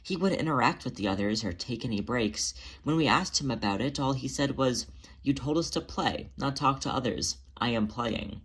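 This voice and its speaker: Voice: medium pitch, moderate pace, fairly bright tone, husky. A narrator voicing a motherly female cat who is a healer, speaking in a patient, soothing and reassuring way. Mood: amused exasperation.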